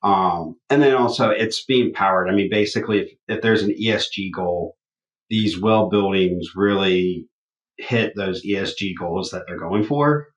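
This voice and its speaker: A loud-voiced man co-hosting a podcast in casual chat. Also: sits low, moderate pace, reverberant, dull audio. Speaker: podcast co-host.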